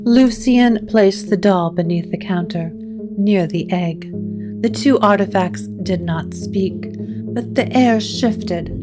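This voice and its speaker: A woman narrates a gothic tale at an unhurried pace in a mid-range voice. Her delivery is scripted, measured and atmospheric, with plucked guitar music clearly behind her. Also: medium pitch.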